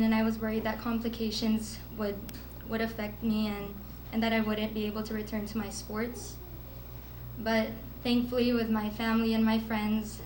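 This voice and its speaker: A woman's voice: fairly high pitch, a little mellow, a little hiss or hum. Teenage girl giving earnest speech. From Philippines. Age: teens. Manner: earnest, with nervous laughs. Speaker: student speaker. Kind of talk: prepared speech. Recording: echoey room with faint ambience.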